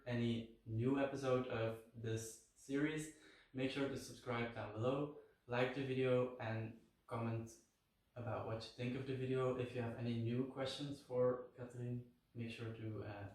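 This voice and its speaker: A man's voice: medium pitch, darkish tone, recorded from a distance. A man hosting his own channel, introducing a guest and asking questions in an upbeat, slightly hesitant way. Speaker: channel host. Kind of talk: casual interview hosting. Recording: echoey room, voice a bit off-mic.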